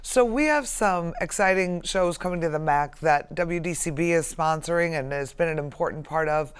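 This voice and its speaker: A woman's voice: low-pitched, moderate pace, clear, resonant tone. A woman hosting a show, speaking in an appreciative, encouraging way as she interviews and announces events. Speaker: show host.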